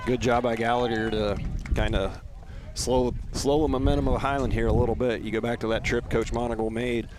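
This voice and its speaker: A man's voice: mid-range voice, fairly even intonation, fairly quick. An American man giving conversational analysis as a color commentator on a baseball broadcast.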